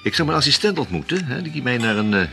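Smooth art dealer, playful and evasive, lively bright voice, faint music. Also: male voice, medium pitch, fast, full character voice. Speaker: radio drama actor. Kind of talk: radio play acting.

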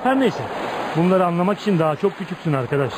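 A noisy radio drama recording of a young dockworker with a slightly high voice. He is blunt and streetwise, swaggering and lecturing like a tough guy, with a kind streak underneath. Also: lively intonation, fast. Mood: gruff, protesting. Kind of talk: Turkish radio theatre acting.

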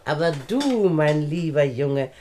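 Older wealthy aunt, condescending, slow drawl, very lively intonation, standard German, radio drama. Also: female voice, low-pitched, slight background noise. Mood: smug. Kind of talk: radio play acting. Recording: studio radio drama recording.

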